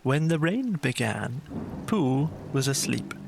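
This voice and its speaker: A man narrating a scripted bedtime story as an audiobook storyteller, in a gentle, measured way. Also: mid-range voice, big pitch swings, gravelly, over music.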